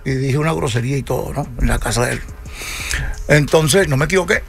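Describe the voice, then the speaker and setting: An older man from Venezuela, a salsa musician, telling unhurried stories in a radio studio interview and acting out the dialogue he quotes. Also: medium pitch, gravelly, soft background music.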